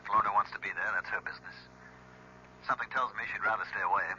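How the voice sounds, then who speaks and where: A man's voice: lowish voice, fairly even intonation, racing, sharp tone, breathy edge, lo-fi sound, exaggerated voice. An actor playing a curt, coolly insistent man heard through a telephone filter in an old-time radio drama, clipped and businesslike.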